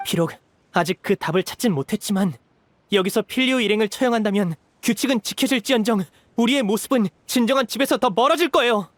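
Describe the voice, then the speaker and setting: Actor as loyal young brother in game drama: high male voice, polite, earnest, impassioned. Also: quick pace, no room sound, close to an everyday voice. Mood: earnest pleading. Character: earnest, brave young man pleading as loyal older brother.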